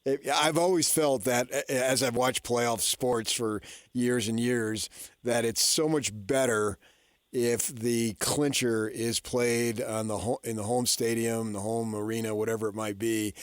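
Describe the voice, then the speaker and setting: A man's voice: mid-range voice, steady tone, measured pace, dry recording. Dry, close-miked middle-aged sports radio host, male. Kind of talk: casual sports talk.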